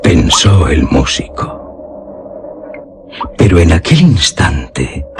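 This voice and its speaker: Deep slow guy narrating gothic horror, solemn and suspenseful, loud music under him. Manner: solemn, suspenseful, literary delivery. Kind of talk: scripted dramatic narration of a gothic horror tale.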